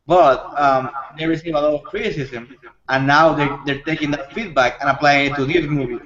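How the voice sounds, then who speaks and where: Higher-voiced man in a casual group chat. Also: a bit bright. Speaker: discussion panelist. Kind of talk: casual discussion. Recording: dull audio with people around.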